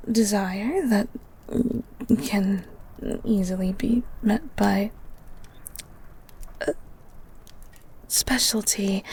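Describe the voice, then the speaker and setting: A woman playing a shy, bookish young woman in a romantic audio roleplay, stammering and halting in a soft, breathy voice. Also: on the high side, heavily acted. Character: shy, flustered young bookish woman living in a beast's castle.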